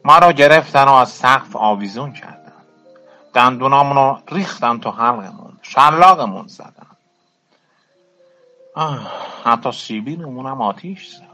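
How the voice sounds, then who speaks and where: A man plays a world-weary, bitter former activist in a radio drama. His voice is forceful and bright, at an average pitch. He recounts his life in a colloquial, reflective way, over faint music. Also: expressive, long pauses. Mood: wry, world-weary. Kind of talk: Persian radio drama monologue.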